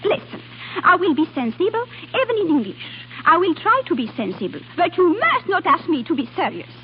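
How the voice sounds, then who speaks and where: Young Frenchwoman, French accent, very high animated voice, impulsive, halting English, vintage radio play. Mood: exasperated, spirited. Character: playful, impulsive young Frenchwoman posing as an aristocrat. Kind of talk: 1930s-40s radio drama acting. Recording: tinny, hissy old radio broadcast.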